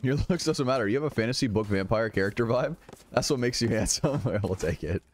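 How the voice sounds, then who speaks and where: A man's voice: mid-range voice, animated, slight background noise. A man with Romanian as his first language, chatting casually while streaming a game and mocking himself. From United States. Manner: chatty, self-mocking, quick exclamations. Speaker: gaming streamer. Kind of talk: casual gameplay commentary.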